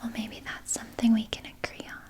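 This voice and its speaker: A woman voicing a sly, doting vampire nurse in a breathy, soothing whisper for an ASMR roleplay. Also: close to an everyday voice. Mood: playfully sinister. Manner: slow, soothing, coaxing, softly articulated. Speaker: ASMR performer.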